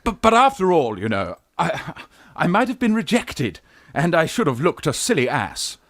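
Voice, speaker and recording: A bashful young English lord of student age played by a man in a Victorian radio farce. His voice swings about in a highish register, close and dry, stammering good-naturedly through upper-class slang. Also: wildly swooping pitch, normal speed, a bit bright, dry recording, heavily acted. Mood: wistful, bashful. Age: young adult. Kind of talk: radio play farce acting.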